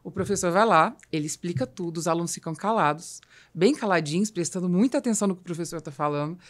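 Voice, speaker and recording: A woman's voice: lowish voice, fast talker. Brazilian woman hosting a business podcast. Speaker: podcast host. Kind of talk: conversational business talk with anecdotes.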